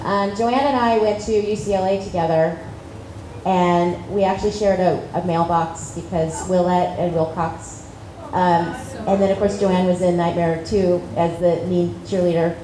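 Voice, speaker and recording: A middle-aged actress recounting set stories on a convention panel, eager and animated. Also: female voice, fairly low pitch, unhurried. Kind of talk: anecdotal panel talk. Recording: echoey hall with noisy house audio.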